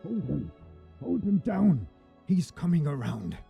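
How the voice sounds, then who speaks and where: An urgent battlefield medic, acted by a man with a very raspy, crackling voice. The delivery swings in pitch, and the sound is dull, from a dramatic audio story. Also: very animated, slow, faint music behind, exaggerated voice. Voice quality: raspy, gravelly. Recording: dull band-limited sound.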